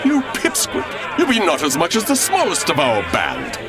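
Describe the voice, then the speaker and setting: A blustering, wildly animated outlaw. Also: male voice, a little high, normal speed, over loud music, over a noisy crowd. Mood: blustering, angry. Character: gruff, hot-tempered, loyal big outlaw henchman. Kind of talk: old-time radio adventure acting, archaic English.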